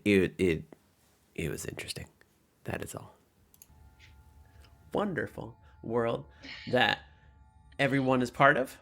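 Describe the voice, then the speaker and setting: A man's voice: sits low, creaky. A man working as game master, narrating and commenting casually in an informal, self-deprecating way.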